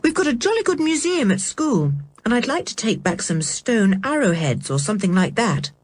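A cheeky, teasing schoolboy with a bright, lively delivery, as a woman reading a storybook puts him on. He talks quickly and jokily, his voice slightly raised and a little breathy. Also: fairly high pitch, no echo, voice pushed up.

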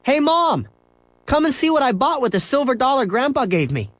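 Man doing an eager, shouting young boy. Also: sits high, measured pace, dry recording, voice pushed up. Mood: excited. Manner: excited, yelling at his dog.

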